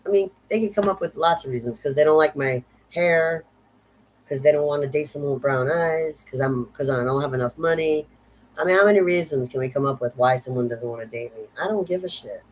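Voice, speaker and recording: A woman's voice: very deep voice, darkish tone, poor audio. Woman, 50s, vlog rant, blunt, profane, sardonic, repeats herself. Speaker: vlogger. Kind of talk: unscripted rant monologue.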